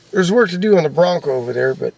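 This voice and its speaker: An American man with a slightly high, creaky voice gives a casual show-and-tell of his gear. He rambles along in a plainspoken, laid-back way. There is faint noise behind him, with some clicks, as on a handheld camera. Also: low-quality recording. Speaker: hobbyist vlogger showing off CB radio gear. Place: in or around a pickup truck.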